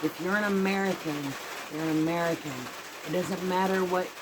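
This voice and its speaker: A woman rambles in casual chat from an outdoor porch, circling back over her points and breaking into excited exclamations. Heavy rain drums loudly on the tin roof above her the whole time, nearly swamping the voice. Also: fairly low pitch, fairly quick, very noisy. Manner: rambling, repeats herself, exclaims. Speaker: vlogger.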